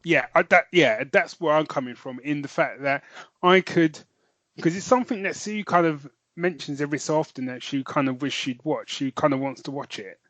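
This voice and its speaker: A man's voice: fairly high pitch, moderate pace, fairly bright tone, dry recording. A man co-hosting a podcast, musing hesitantly and trailing off mid-thought. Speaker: podcast co-host. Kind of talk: casual chat.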